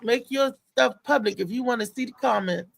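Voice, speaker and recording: A woman's voice: fairly high pitch, a little fast, creaky. A woman hosting a casual talk show, insistent, repeating her words as she makes her point and quotes others. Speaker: show host. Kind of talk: casual chat.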